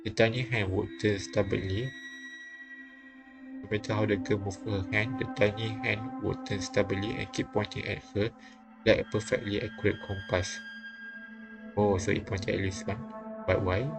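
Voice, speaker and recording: A man streaming a visual novel, reading it aloud haltingly with casual comments. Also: lowish voice, flat delivery, vocal fry. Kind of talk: live read-aloud narration with casual comments. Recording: game music behind. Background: game music.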